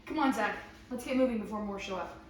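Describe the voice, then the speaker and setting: A woman's voice: medium pitch, fast talker, close to an everyday voice. A woman acting a protective, urgent sister in a horror script at an amateur table read. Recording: echoey room, distant mic, faint noise.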